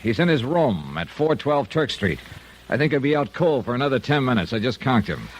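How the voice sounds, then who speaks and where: An American actor playing a tough, cool investigator in a 1950s radio detective drama, clipped, terse and world-weary. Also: male voice, mid-range voice, sing-song, fairly quick, background noise, lightly acted. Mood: brisk, urgent. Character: tough, cool insurance investigator, male, hard-boiled. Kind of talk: 1950s detective radio drama acting with first-person narration. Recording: vintage radio drama recording.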